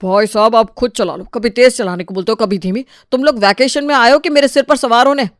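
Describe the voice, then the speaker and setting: A woman's voice: a little high, fairly quick, no room sound, clean studio sound, close to an everyday voice. A woman voicing grumpy, indignant men in a family story, exasperated and scolding.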